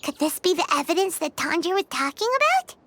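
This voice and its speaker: A woman voicing a bold, chirpy small companion in a game, blunt and excitable. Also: very high-pitched, quick pace, slight background noise, heavily acted. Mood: curious. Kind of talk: game voice acting.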